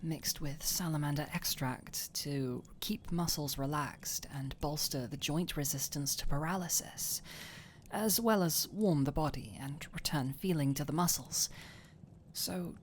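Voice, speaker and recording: Woman acting as a fantasy alchemist, slow-paced, lowish pitch, soft murmur, hesitant, anxious, tenderly teasing, intimate audio roleplay. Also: a little hiss or hum. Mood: absorbed, pondering. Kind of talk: roleplay audio drama, intimate one-sided dialogue.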